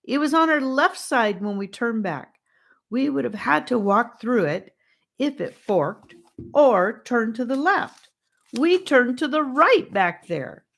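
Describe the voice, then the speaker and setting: A woman's voice: fairly high pitch, expressive, takes it slowly, creaky, a bit bright, voice pushed up, heavily acted. A woman voicing a frightened young girl in an audiobook, weary and desperate, sobbing and pleading as she speaks. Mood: hysterical, sobbing.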